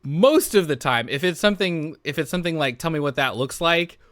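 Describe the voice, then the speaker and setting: A man's voice: fairly high pitch, animated, fairly quick, a bit bright, no echo, studio quality. A man chatting casually as a podcast cast member, blunt and joking, with freely dropped swearing. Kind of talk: casual group chat.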